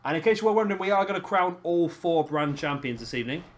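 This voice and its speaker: A hoarse man, hosting and casually commentating a wrestling video game. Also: on the high side, animated, fast talker. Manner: chatty, laughing, swears casually. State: hoarse, lost his voice. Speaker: host of a gaming channel.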